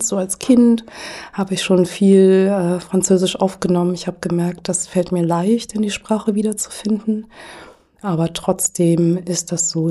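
A middle-aged woman speaking as a podcast guest, thoughtful and hesitant with frequent pauses and fillers. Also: fairly low pitch, warm tone, breathy edge. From Germany. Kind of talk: reflective conversational interview.

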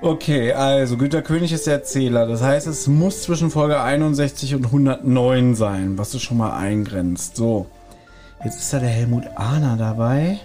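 A man co-hosting a casual podcast, thinking aloud in quick bursts while he guesses. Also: mid-range voice, mellow, faint music behind. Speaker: podcast co-host guessing episodes.

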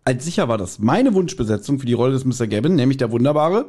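A man's voice: medium pitch, sing-song, fast talker, mellow. A man hosting a podcast, casually talking through background details of audio dramas. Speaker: podcast host.

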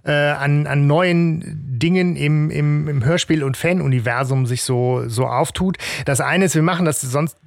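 Podcast guy gushing about fan news, lots of restarts, gravelly voice, dry sound. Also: on the high side, moderate pace, few pauses, no echo. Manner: enthusiastic, rambling with restarts. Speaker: podcast co-host. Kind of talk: casual podcast chat.

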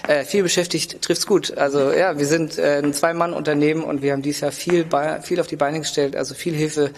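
Coffee guy from germany, bit high voice, lots of ums, long hall echo behind him. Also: flat delivery, a little fast. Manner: hesitant, many fillers, enthusiastic. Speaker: coffee roaster, guest. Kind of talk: casual interview. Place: large building site hall.

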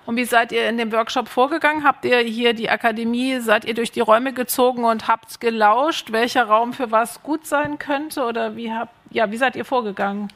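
A woman's voice: fairly high pitch, fairly even intonation, moderate pace, hardly any pauses, a bit bright. A woman moderating a festival panel, asking long questions. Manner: asks questions at length.

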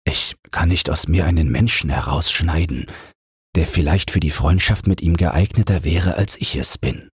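A man's voice: low-pitched, normal speed, husky, dry recording, studio quality. A man voicing an earnest, stubborn young businessman defending himself in an audiobook. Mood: resolute.

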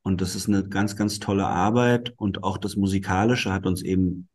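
Bilingual man, very low voice, chatty interview guest, explains at length with lots of ums. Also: fairly even intonation, normal speed, darkish tone, slightly rough. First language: bilingual German and Italian. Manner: thoughtful, explains at length with many fillers. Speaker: musician and guest. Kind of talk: casual interview talk.

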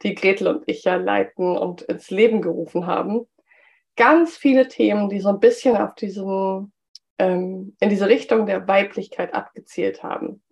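A woman hosting a solo business podcast, slightly hoarse from a cold and chatty, with frequent filler sounds. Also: medium pitch, normal speed, a little mellow. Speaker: podcast host.

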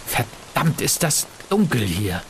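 A man playing a level-headed professor in a German science-fiction audio drama, speaking in a measured, analytical way. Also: mid-range voice, very animated. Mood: tense, dry. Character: level-headed male professor exploring an alien mystery. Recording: echoey hall reverb with noise.

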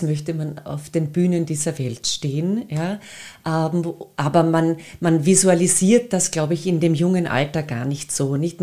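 A woman's voice: lowish voice, mellow. A middle-aged woman with an Austrian accent, answering conversationally as an interview guest. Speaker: podcast guest, actress and singer.

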